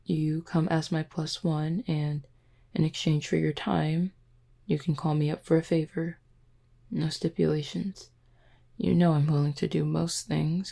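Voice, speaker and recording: Woman voicing a husky, low, flirty werewolf woman. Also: warm tone, heavily acted. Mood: persuasive. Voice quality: low, husky, breathy with some creak. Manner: teasing, measured, deliberate wording. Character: flirty, composed female werewolf pack second-in-command. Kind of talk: roleplay audio drama, intimate one-sided dialogue.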